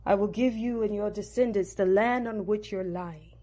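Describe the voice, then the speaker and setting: A woman's voice: medium pitch, moderate pace, dark tone, natural voice. A woman voicing God, declaiming grandly and solemnly. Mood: majestic.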